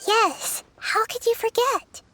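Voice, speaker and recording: A woman playing a small, childlike nature deity in a video game, wise, gentle and curious, speaking thoughtfully and politely. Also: very high voice, slow pace, a little hiss or hum, exaggerated voice. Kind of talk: scripted video game character dialogue.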